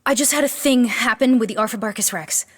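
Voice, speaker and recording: Female actor as nervous sardonic young heroine, high pitch, long reverb, halting delivery, comedic audio drama. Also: close to an everyday voice. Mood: urgent. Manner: nervous, halting, bossy with sarcasm. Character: anxious, sardonic young female aspiring beastmaster.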